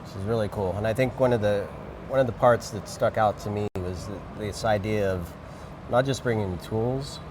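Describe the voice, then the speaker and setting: A man's voice: fairly low pitch, normal speed, hardly any pauses. A man hosting a casual interview, informal and conversational, often doubling his words as he agrees and asks questions. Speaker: interviewer, host. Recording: noisy urban ambience behind voice.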